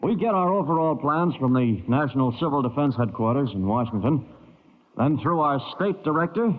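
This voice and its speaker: A man playing a polite, businesslike civil defense director addressing a meeting in an old-time radio drama. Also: mid-range voice. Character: courteous, orderly male civil defense zone director. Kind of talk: 1940s-50s radio drama acting. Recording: muffled, narrow old-time radio recording.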